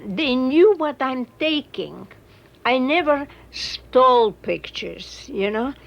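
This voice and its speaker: A woman photojournalist reflecting in a documentary interview, with halting, non-native phrasing. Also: sits high, expressive, creaky, dull audio.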